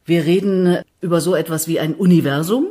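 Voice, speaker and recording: A woman's voice: on the low side, brisk, mellow, husky. A woman hosting a casual interview, informal and familiar, with questions and short reactions. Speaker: podcast host, interviewer. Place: indoors in the guest's home.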